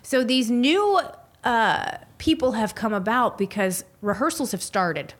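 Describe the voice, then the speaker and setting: A woman's voice: fairly high pitch, expressive, unhurried. A woman hosting a podcast, chatting casually and enthusiastically about reality TV and celebrity gossip, with a teasing edge. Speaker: podcast host. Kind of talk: casual pop-culture chat.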